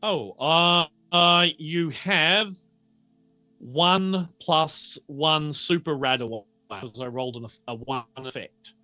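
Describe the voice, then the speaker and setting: A man running a tabletop roleplaying game as game master, narrating off the cuff and often halting to think mid-sentence. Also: on the high side, sharp tone, dry recording, soft background music.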